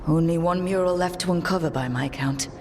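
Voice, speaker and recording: A woman's voice: lowish voice, brisk, darkish tone, slight background noise, natural voice. A woman voicing a compassionate, level-headed leader of a fantasy band in a video game cutscene. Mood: measured, practical.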